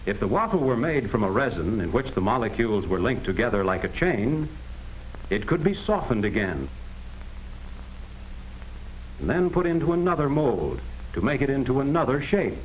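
An American man reading scripted 1940s educational film narration in a measured, explanatory manner on a vintage soundtrack. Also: medium pitch, big pitch swings, slight background noise, poor audio. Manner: measured, explanatory, addressing the listener directly.

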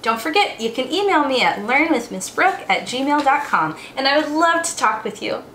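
Young lady doing kids storytime, super swoopy expressive voice, talks to little ones, bit of background noise. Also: sits high, normal speed. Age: young adult. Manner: expressive, exclamatory, child-directed delivery. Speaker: children's storytime teacher and host. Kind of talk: read-aloud storytime for young children. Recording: roomy echo, faint background noise.

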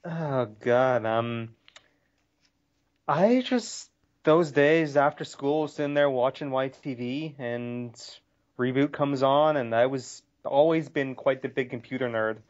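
A man in his twenties chatting on a podcast in a relaxed, reminiscing way. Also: medium pitch, slow pace, dull audio. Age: 20s. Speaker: podcast co-host. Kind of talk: casual podcast chat.

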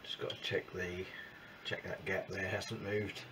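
A man giving informal hands-on workshop commentary in a halting, matter-of-fact way with clipped sentences. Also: deep voice, little pitch movement. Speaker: presenter of a motorbike build vlog. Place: small wooden shed workshop. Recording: noisy workshop recording with background ambience.

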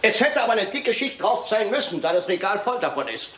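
An actor playing a shrewd, self-assured detective in a German radio crime drama, with a sly, theatrical manner. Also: male voice, high voice, sing-song, fairly quick, noisy background. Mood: probing, accusing. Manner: theatrical, sly, teasing, with occasional French phrases.

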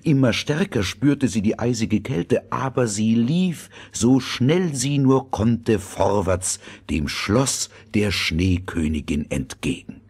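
A man's voice: fairly low pitch, slow, hardly any pauses, darkish tone, slightly rough. A man narrating a scripted fairy tale as the storyteller of an audio drama.

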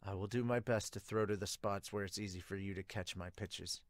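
A man's voice: lowish voice, fairly even intonation, brisk, no room sound, voice pushed down, lightly acted. An earnest, solemn schoolboy athlete from a manga, voiced by a man. He is polite and subdued, serious in every line, with a dry close sound.

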